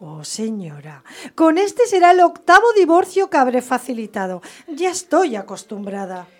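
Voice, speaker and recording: An actress playing a sly young maid in radio theatre, deferential and coy but worldly. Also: female voice, high voice, sing-song, moderate pace. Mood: demure, knowing.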